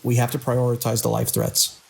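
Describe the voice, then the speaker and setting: A man playing a weary, conscientious hospital director in an audio drama, with a gravelly, husky voice. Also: medium pitch, completely flat delivery, moderate pace, darkish tone, a little hiss or hum, close to an everyday voice. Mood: weary, firm. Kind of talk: audio drama acting, log dictation and urgent calls.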